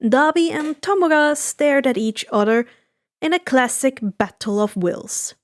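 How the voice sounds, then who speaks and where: A woman's voice: high voice, lively intonation, a little slow. A woman narrating fan fiction from a script as a storyteller.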